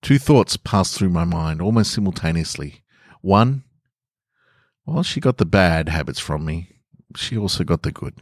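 A man reading a first-person story as a reflective, tender father, measured and earnest. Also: sits low, lively intonation, dry recording, studio quality, heavily acted.

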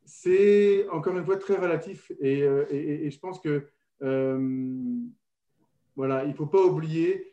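French guy on a radio panel, warm mellow voice, keeps restarting himself, muffled echoey room. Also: a little high, a little slow. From France. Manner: hesitant, many restarts and fillers. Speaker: panel guest. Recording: dull roomy radio recording.

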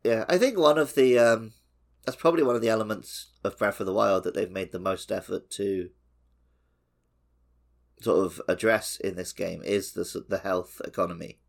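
A man with a very low, creaky voice talks in a loose, conversational way, like a podcast co-host. His delivery is informal, and the low register often settles into vocal fry. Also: normal speed. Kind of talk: casual two-man video game discussion.

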